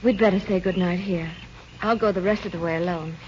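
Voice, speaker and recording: An actress playing a gentle, anxious young woman in love in an old radio drama, speaking tenderly and hesitantly. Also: lowish voice, steady tone, brisk, a little hiss or hum, natural voice. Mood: hushed, uneasy. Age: young adult. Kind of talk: 1930s-40s radio drama acting. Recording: dull old radio broadcast.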